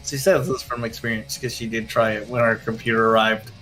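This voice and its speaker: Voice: medium pitch, steady tone, brisk, music in the background, rough recording. A livestream companion talking in casual chat.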